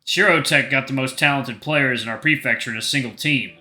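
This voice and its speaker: A man's voice: mid-range voice, a little fast, pushed and bright, roomy sound. A man acting an earnest, easily flustered and defensive teenage boy in an audio drama. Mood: resigned, earnest.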